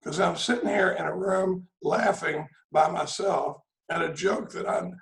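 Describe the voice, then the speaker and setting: A man's voice: sits high, unhurried, creaky, reverberant. A man, sharing his story at a recovery meeting in a rambling, joking way. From Dallas, Texas. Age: 60s. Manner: joking, rambling, frequent "I mean" and "you know". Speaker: AA speaker. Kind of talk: storytelling speaker-meeting share. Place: home room on a video call.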